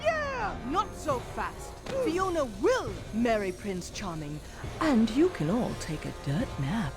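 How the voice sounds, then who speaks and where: A woman's voice: a little high, very animated, over music, full character voice. A woman playing a scheming, vengeful fairy godmother villain in a game, imperious and theatrical. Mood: gloating threat. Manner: imperious, melodramatic, drawn-out.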